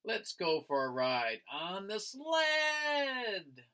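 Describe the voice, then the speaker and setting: Man acting a kindly storybook man, gentle, easygoing, slow phrases, bright tone. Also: high-pitched, wildly swooping pitch, smooth, voice pushed up, exaggerated voice. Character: kindly man in a yellow hat, easygoing guardian.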